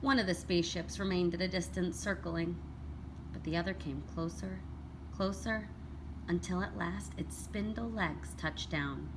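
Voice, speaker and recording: A woman with a slightly low voice narrates a children's picture book. She tells it expressively and deliberately, like a teacher at read-aloud time. Clear background noise sits in the recording. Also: fairly even intonation.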